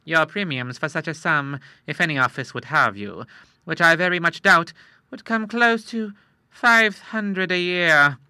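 A man's voice: a little high, bright, forceful tone, clear, resonant tone, no echo, pitched above the performer's own voice. Man playing a cool, shrewd moneylender. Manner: measured and coolly persuasive. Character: shrewd, smooth male moneylender, middle-aged.

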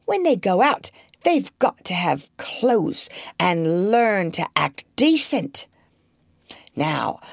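A woman voicing a proud, bossy working-class mother who scolds her children in homely rustic speech, pitched high and emphatic. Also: no echo, studio quality, exaggerated voice.

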